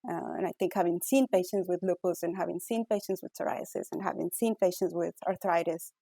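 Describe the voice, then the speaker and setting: Middle-aged ecuadorian lady, spanish accent, low gravelly mellow voice, dry close sound, lots of ums. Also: steady tone, fairly quick, dry recording. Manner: thoughtful, many fillers and restarts. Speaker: guest physician scientist. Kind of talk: interview answers.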